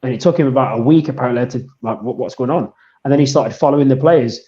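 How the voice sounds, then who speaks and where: Male podcast co-host, warm mellow tone, measured delivery, echoey, dull-sounding room. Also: medium pitch. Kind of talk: casual chat. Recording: dull, roomy podcast audio.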